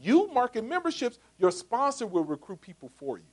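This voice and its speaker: A man in his forties giving a motivational sales talk to a live audience. He is jokey and asks the crowd rhetorical questions, swinging between loud punchy lines and quiet asides. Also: very high voice, huge pitch swings, brisk. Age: 40s. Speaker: speaker pitching a business opportunity.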